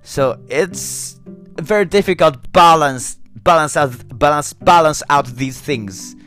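A man whose first language is Japanese, speaking English with a Japanese accent, talking slowly and thoughtfully to camera with many restarts and fillers. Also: on the high side, fairly bright tone, soft background music. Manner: slow and thoughtful. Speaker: English learner. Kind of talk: reflective solo talk.

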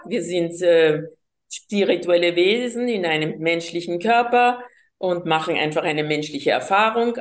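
Woman from colombia, slightly low pitch, hesitant with fillers, interview guest. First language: Spanish. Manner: hesitant, many fillers and restarts. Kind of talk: personal storytelling in an interview.

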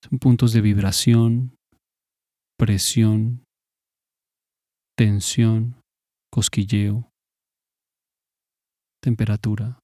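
A man leading a guided mindfulness meditation in a calm, measured, instructive manner. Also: fairly low pitch, dry recording, clean studio sound.

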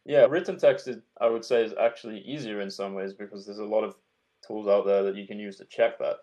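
A man with a Yorkshire accent, a guest speaking frankly and relaxed in a casual interview. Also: lowish voice, brisk. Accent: Yorkshire English. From Yorkshire, England.

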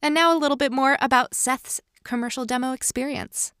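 A woman's voice: high voice, brisk, fairly bright tone, a little hiss or hum. A woman co-hosting a podcast, giving step-by-step advice in a conversational, explanatory way. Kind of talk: instructional podcast talk.

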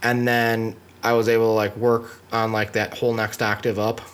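A man, a musician, co-hosting a casual studio chat with animated joking and bits of improvised singing. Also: lowish voice, normal speed, clean tone. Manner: animated, jokey, breaks into short sung bits. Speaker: podcast co-host, musician.

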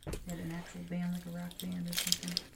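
A woman's voice: fairly low pitch, monotone, fast talker, gravelly, recorded from a distance, very noisy. A woman in casual conversation as a guest, telling meandering stories in a chatty way. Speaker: guest, host's sister. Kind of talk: casual chat.

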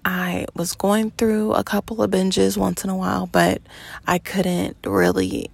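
A woman in her twenties hosting a podcast, talking in an earnest, reflective monologue with slow pauses and fillers. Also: medium pitch, lively intonation, gravelly, husky, no echo. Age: 20s. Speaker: podcast host.

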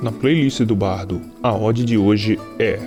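A man's voice: on the low side, unhurried. A Brazilian man reading a scripted, dramatic introduction as a podcast announcer. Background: guitar music.

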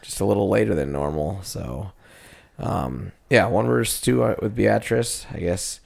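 Gravelly, scratchy-voiced coach guy. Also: fairly low pitch, moderate pace. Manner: relaxed, rambling with fillers. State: scratchy voice after a duel. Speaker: wrestling coach. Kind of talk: casual chat.

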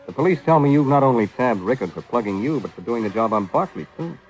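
An American actor playing a cool, sardonic, teasing private detective in a vintage 1940s radio drama. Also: male voice, mid-range voice, lively intonation, fast talker, lightly acted, poor audio. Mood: confident, probing. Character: wisecracking, cool-headed male private detective, flirtatious with women.

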